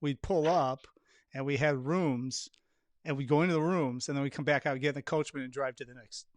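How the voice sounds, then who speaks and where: A man's voice: medium pitch, fairly even intonation, brisk, a little hiss or hum. A man hosting a casual interview chat, talking freely and repeating phrases for emphasis. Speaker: podcast host.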